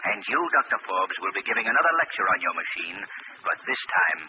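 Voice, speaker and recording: Commanding crime fighter, tinny vintage radio. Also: male voice, low voice, lively intonation, very noisy. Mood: commanding, mocking. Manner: measured, authoritative, theatrical. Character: mysterious male crime fighter, commanding, coolly mocking. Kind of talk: 1940s radio drama acting.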